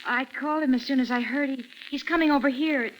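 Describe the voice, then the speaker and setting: Woman acting high-pitched, effusive teenage girl. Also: fast talker, lightly acted. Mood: eager. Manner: effusive, earnest. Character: gushing, excitable teenage girl next door. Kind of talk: 1940s radio drama acting. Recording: faintly noisy 1940s radio broadcast.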